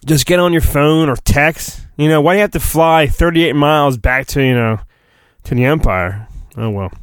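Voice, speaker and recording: A man's voice: fairly high pitch, normal speed, clean tone, dry recording, clean studio sound. A man hosting solo, reading a storybook in mock-dramatic fashion with rambling, sarcastic asides. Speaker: solo podcast host.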